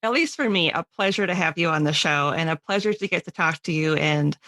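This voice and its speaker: A woman's voice: low-pitched, a little fast, sharp tone, no echo. A woman hosting a podcast in a casual, supportive, affirming way with a guest. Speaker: podcast host. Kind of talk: casual chat.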